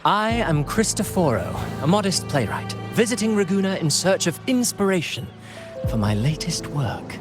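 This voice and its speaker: A man's voice: high voice, sing-song, moderate pace, music in the background. A man playing a suave, courteous playwright in a video game, speaking with polite, formal eloquence. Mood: smooth, courteous. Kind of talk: video game character acting.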